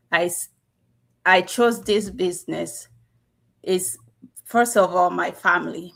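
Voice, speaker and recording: A middle-aged woman from South Sudan speaks as an interview guest, her voice carrying a gravelly fry. She tells her story earnestly and with animation, heard over a video call. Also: mid-range voice, a bit bright. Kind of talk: testimonial interview.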